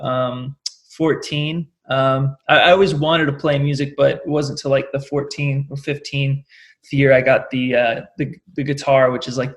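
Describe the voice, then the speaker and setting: A young man with a Californian accent talks in a casual interview. His voice sits at a middle pitch, and he rambles along with plenty of "like" and "you know". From California, United States. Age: late 20s. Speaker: interview guest, rock singer.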